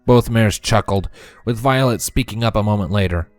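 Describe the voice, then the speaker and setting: A man narrating a story from a script in an expressive reading style. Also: on the low side, normal speed, no room sound. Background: music.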